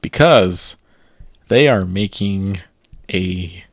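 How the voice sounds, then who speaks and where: A man co-hosting a casual podcast, steering the conversation in a measured way. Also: deep voice, animated. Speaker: podcast co-host.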